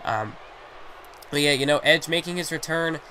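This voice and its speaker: A man with a strained, hoarse voice that is starting to give out, yet still bright and sharp in tone. He rambles through play-by-play commentary on a wrestling video game, padding his thoughts with filler phrases. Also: on the high side, moderate pace, faint music behind, some chatter behind. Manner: rambling, heavy on fillers like "you know".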